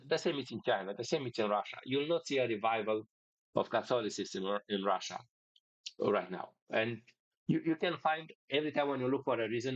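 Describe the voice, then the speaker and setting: Romanian guy talking in halting english, lots of uhs and restarts, dry dull sound. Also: lowish voice, dry recording. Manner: hesitant, many fillers and restarts in non-native English. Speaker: podcast host. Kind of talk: casual monologue.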